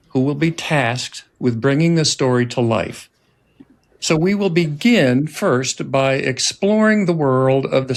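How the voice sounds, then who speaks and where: A man with a mid-range voice hosts a podcast. He reads a prepared introduction in a formal, measured way. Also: medium pitch. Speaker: host, writer-director.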